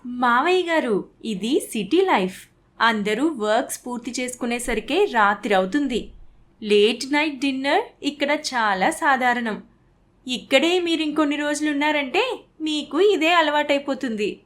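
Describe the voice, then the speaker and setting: A woman's voice: high-pitched, animated, unhurried. A woman voicing a breezy young city daughter-in-law in a cartoon family story. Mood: breezy, matter-of-fact.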